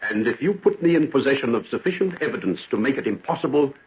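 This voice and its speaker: An actor playing a formal, impatient middle-aged gentleman lawyer in an old radio drama. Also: medium pitch, lively intonation, fast, close to an everyday voice. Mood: strained formal. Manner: formal, clipped, indignant. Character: stiff, impatient gentleman lawyer, a disapproving father. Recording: dull, noisy old radio recording with clicks.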